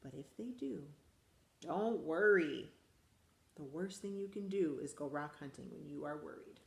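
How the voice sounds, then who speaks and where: Woman principal, children's story read-aloud, animated and expressive, echoey room. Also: sits low, unhurried, gravelly. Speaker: school principal reading aloud.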